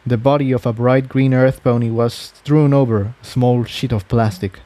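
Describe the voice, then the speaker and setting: Male narrator, soft-edged mellow tone, mid pitch, scripted fan fiction storytelling. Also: fairly even intonation, normal speed, no room sound.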